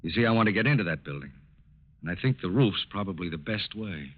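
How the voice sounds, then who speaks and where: An American actor playing a calm, businesslike federal agent with a clipped, matter-of-fact manner in a 1940s radio drama. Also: male voice, deep voice, quick pace, very noisy. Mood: planning. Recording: old 1940s radio, thin phone-like band.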